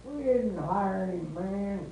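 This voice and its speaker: Taunting vigilante boss, high calling voice, heavily echoing, 1950s radio western. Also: male voice, lively intonation, takes it slowly, full character voice. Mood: taunting, menacing. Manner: shouting from a distance, scornful. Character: hard, taunting leader of a western lynch posse. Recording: echoing noisy old radio recording.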